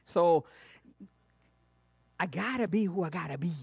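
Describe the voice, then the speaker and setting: A male pastor with a Latin American Spanish accent, preaching a sermon in a playful, animated way that swings between loud emphasis and hushed asides. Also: high voice, unhurried, creaky, husky, no echo, studio quality.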